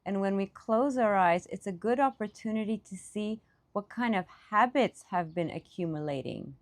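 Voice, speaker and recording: Female meditation teacher, medium pitch, unscripted guided-practice delivery with reflective pauses. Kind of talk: guided meditation talk, spoken off the cuff.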